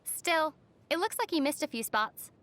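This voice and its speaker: A woman voicing a gentle, thoughtful young traveler heroine in an anime game, measured and pensive, with a dryly teasing streak. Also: sits high, sing-song. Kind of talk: English-language anime game voice acting.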